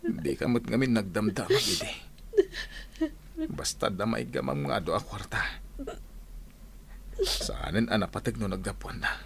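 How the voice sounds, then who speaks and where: Jailed radio drama husband, meek, gravelly, Filipino accent. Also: male voice, fairly low pitch, expressive, unhurried, long pauses, slight background noise. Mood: regretful, defensive. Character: subdued, ashamed jailed husband, former tricycle driver.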